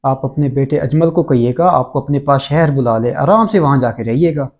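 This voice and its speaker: A man's voice: medium pitch, natural voice. Man as a helpful villager, thin phone-like sound. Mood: respectful, warm. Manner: polite, reassuring. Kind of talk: moral-story video drama acting.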